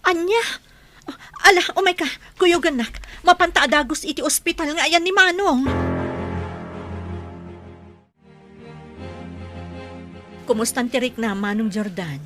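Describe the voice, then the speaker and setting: An actress playing an exasperated younger sister, a singer, in a radio drama. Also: female voice, high-pitched, expressive, moderate pace, music in the background. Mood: worried, scolding. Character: popular singer Adelfa, exasperated with her wayward older brother. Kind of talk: Ilocano radio drama acting.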